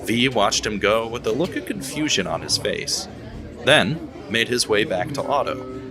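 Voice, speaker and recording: A man narrating a scripted audio drama in a steady storytelling manner, over background music. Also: fairly low pitch, unhurried.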